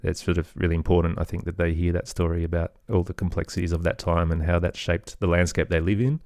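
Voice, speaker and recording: A man's voice: deep voice, little pitch movement, fast, darkish tone, no room sound, clean studio sound. A man with an Australian accent, chatting casually as an interview guest about his work. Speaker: interview guest, children's podcast creator.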